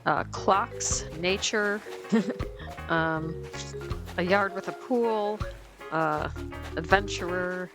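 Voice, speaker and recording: A woman's voice: lowish voice, extremely slow, long pauses, over music. A woman casually commenting on her gameplay as host, easygoing and chatty with plenty of ums, a little sniffly as she gets over a cold. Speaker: let's play host.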